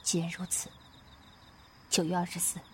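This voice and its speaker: A woman's voice: fairly low pitch, monotone, moderate pace, a bit bright, a little hiss or hum, lightly acted. A woman playing a playful, earnest young swordswoman in a Chinese wuxia radio drama, with a hesitant, coaxing, theatrical delivery. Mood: grave, earnest.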